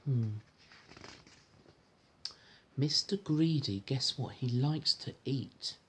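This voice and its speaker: A man reading a storybook aloud to a child in an informal, encouraging, gentle way, with chatty asides. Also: medium pitch, extremely slow. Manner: encouraging, gentle, echoes the child's words back. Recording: home recording with faint background noise.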